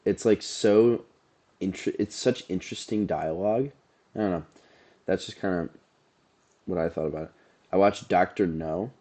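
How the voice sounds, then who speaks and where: A man's voice: deep voice, moderate pace, long pauses, dark tone. A young adult man co-hosting a podcast, chatting casually about movies, sounding nasal from allergies and rambling in a laid-back way. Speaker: podcast co-host.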